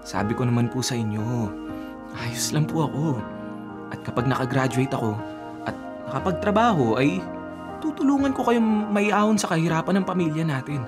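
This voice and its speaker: An actor playing a young, dutiful student son, polite and earnest, in a Filipino radio drama. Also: male voice, a little high, animated, normal speed, over music, close to an everyday voice. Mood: earnest, concerned. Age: young adult. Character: dutiful, caring college-age son, working student.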